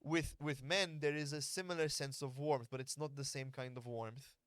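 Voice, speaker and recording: Blunt, earnest man hosting a podcast, a fight coach who explains his views at length and swears without a second thought. His voice sits at a mid pitch. It sounds close and dry, with no room echo. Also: steady tone, moderate pace, a bit bright, clean tone. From Malta. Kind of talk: casual podcast conversation.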